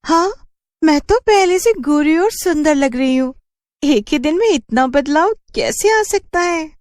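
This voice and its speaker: A woman's voice: sits high, lively intonation, a little slow, a little mellow, dry recording, clean studio sound, voice pushed up. A woman voicing a shy, earnest young village woman in a cartoon story. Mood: hopeful. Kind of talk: Hindi horror cartoon character voice.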